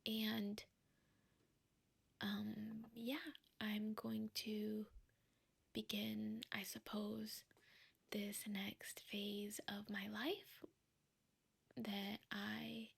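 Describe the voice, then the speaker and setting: Slow, husky whispering young woman. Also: medium pitch, monotone. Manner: soft unvoiced whisper, slow and rambling. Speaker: vlogger. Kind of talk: whispered ASMR chat. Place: quiet home, indoors.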